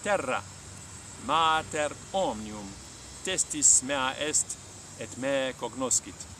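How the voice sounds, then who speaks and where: A man voicing a serene, unshakeable sage from a story, declaiming slowly and solemnly. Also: medium pitch, noisy background, natural voice. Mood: solemn, resolute. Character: serene, unshakeable male sage meditating under a tree.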